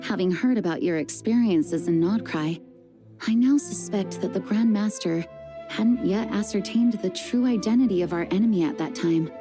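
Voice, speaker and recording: An actress playing a composed, dutiful adult woman knight who leads, speaking in a measured, earnest and formal way. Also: mid-range voice, close to an everyday voice. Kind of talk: video game voice acting. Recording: game music under the voice. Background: game music.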